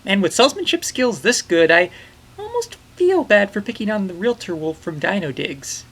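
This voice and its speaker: A man's voice: sits high, very animated, moderate pace, fairly bright tone, husky. A young man narrating a comedic film review from a small bedroom, with jokey, enthusiastic asides.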